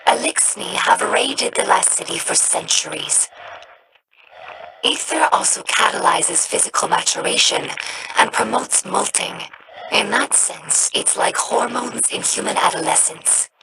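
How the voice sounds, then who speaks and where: A woman voicing a young female alien scribe in a game, earnest and devout, speaking in a measured, reverent way. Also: mid-range voice, lively intonation, vocal fry. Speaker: game character. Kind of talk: game voice lines. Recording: noisy game audio rip.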